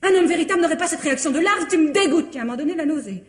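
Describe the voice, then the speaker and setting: An actress playing an exasperated, scornful younger wife in a French radio mystery, theatrical and reproachful. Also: female voice, high-pitched, expressive, very fast. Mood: scornful, furious.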